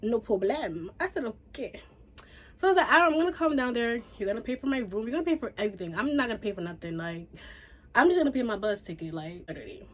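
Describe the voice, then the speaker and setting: A young woman from Haiti chatting casually through a storytime, animated and full of fillers. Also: on the high side, fast talker. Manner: chatty, animated, says "like" and "y'all" a lot. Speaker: YouTuber.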